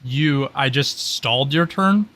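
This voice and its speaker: A man's voice: medium pitch, slow pace, a bit bright. A man running a tabletop roleplay game, explaining things casually and thinking aloud in a rambling, informal way. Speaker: game master.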